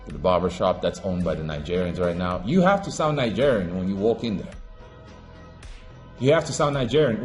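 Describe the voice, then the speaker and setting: A man from Africa giving an impassioned monologue as an online commentator, preachy and emphatic, swinging between raised declarations and low muttering.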